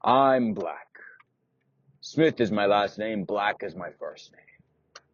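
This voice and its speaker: A man doing a gruff, determined blacksmith. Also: lowish voice, expressive, slow. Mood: matter-of-fact. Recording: dull lo-fi recording.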